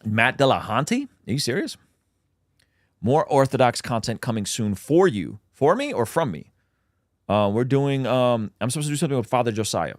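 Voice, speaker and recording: A man's voice: mid-range voice, expressive, moderate pace, no echo, studio quality. A man, a native Russian speaker, hosting a casual livestream where he reads out chat questions and answers them with plenty of ums.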